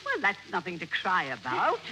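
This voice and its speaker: A woman playing a sweet, excitable, starstruck old lady in 1940s radio comedy, with a gushing manner. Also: lowish voice, normal speed, heavily acted. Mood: curious. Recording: noisy, crackly old radio recording.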